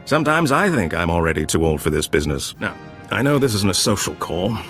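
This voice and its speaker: Low-voiced, suave older gambler. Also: male voice, very animated, a little fast, fairly bright tone, faint music behind. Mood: suave, boastful. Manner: suave, genteel, self-assured. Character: charming, worldly older male gambler and underworld informant. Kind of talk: video game voice acting.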